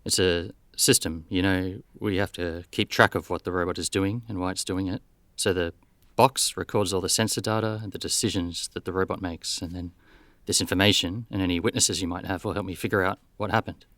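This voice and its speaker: An actor playing a world-weary, dryly cynical detective nearing seventy in a radio play, with a wry, deadpan delivery. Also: male voice, sits low, brisk, dry recording. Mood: businesslike, dry.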